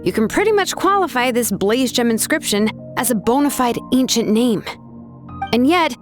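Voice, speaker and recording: Actress voicing a sharp, laid-back young woman. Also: fairly high pitch, natural voice. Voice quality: relaxed, casual and perceptive. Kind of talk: dubbed video game cutscene.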